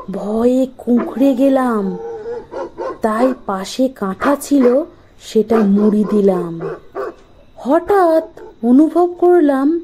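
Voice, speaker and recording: Young woman, first-person horror narrator; slow, fearful, higher voice, noisy track. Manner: slow, tense, fearful storytelling.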